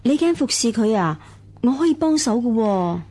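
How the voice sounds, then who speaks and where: A woman's voice: fairly high pitch, big pitch swings, moderate pace, dark tone. An actress playing a deferential young servant woman, coaxing and eager to please, in a Chinese period radio drama. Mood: curious, eager.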